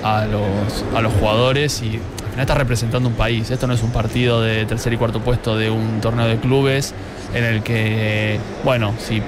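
Man, football pundit, lowish flat voice, unscripted analysis. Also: moderate pace. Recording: loud background crowd and street noise.

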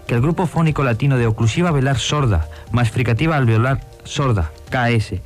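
A man lecturing precisely and slowly, in an archival radio lesson. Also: medium pitch, soft background music. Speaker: university lecturer.

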